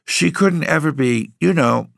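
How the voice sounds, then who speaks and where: A frail, fretful young woman, her voice quavering with worry, as a man reading the story puts her on. She speaks far higher than the narrator and tends to linger on her words, in a dry, close recording. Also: expressive, unhurried, creaky, no echo, heavily acted. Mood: anxious. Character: timid, frail young woman, anxious sister.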